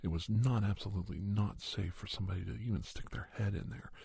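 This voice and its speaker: A man telling a story in ASMR style as an earnest monster investigator, soft and breathy, with halting phrases and frequent pauses. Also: lowish voice. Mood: hushed, musing. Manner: hushed, halting, pausing often mid-sentence. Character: Doctor Andrew Michaels, earnest monster investigator recounting a hunt. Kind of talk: ASMR storytelling, told as first-person account.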